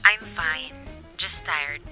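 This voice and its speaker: A woman's voice: low-pitched, slow pace, bright, forceful tone, soft background music, full character voice. A woman acting a curt young girlfriend in a Filipino romance audio drama, with a Filipino accent and clipped replies. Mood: tired, curt.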